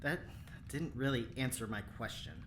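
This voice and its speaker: An actor playing an eager, insecure, chatty engineer in an audio drama, self-conscious and halting in delivery. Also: mid-range voice, noisy background, close to an everyday voice. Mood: subdued, rambling.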